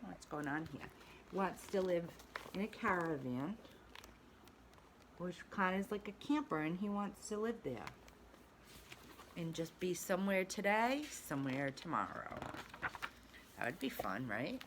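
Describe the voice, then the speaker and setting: A pre-K teacher reading a storybook aloud to young children, talking in a slow, expressive, sing-song way. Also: female voice, on the low side, noisy background.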